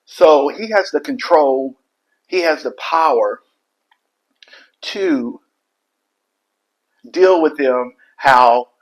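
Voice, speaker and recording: A man giving preachy commentary in a deliberate, emphatic, moralizing way, with broken phrases. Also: fairly high pitch, rough recording. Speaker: online commentator, life coach.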